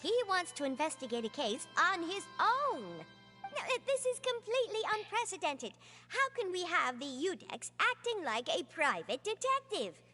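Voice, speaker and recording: An actress playing a fussy young court secretary who talks in a fretful, exasperated way. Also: female voice, extremely high pitch, big pitch swings, moderate pace, sharp tone, full character voice. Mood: flustered. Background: game music.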